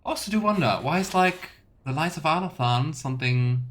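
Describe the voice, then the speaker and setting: A man's voice: fairly high pitch, animated, normal speed, fairly bright tone. A man streaming a video game, giving casual commentary and thinking aloud. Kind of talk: casual let's play commentary.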